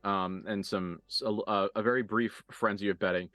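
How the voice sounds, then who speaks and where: A man working as game master, improvising narration for a tabletop roleplaying game with deliberate, halting phrasing and dramatic emphasis. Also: lowish voice, steady tone, a bit bright, no room sound. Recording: dry voice over background music.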